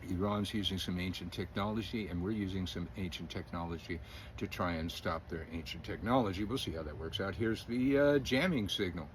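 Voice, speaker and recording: Opinionated middle-aged man, low voice. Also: brisk, background noise. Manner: rambling, opinionated, chuckles often, hesitant fillers. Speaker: independent news commentator. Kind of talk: casual political commentary.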